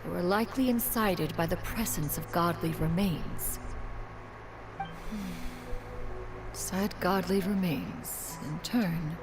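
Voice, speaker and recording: A woman's voice: fairly low pitch, slow pace, pauses often. A woman playing a proud immortal being in a video game, speaking in a formal, archaic, lofty manner with underlying tenderness. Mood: indignant, lofty. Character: proud, haughty female immortal adeptus, formal yet tender. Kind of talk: scripted game dialogue. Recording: game music behind the voice.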